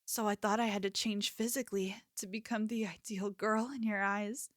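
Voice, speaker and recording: A woman's voice: medium pitch, little pitch movement, normal speed, no echo, clean studio sound, lightly acted. A woman playing an obsessive, possessive young yandere in an ASMR roleplay, speaking intimately and coaxingly, like a lover with menace underneath. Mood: confessional.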